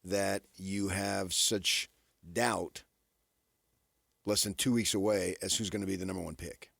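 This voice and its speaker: A man hosting sports talk radio, casually musing in halting, repeated phrases for emphasis. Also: low voice, steady tone, gravelly, fairly bright tone, dry recording, clean studio sound.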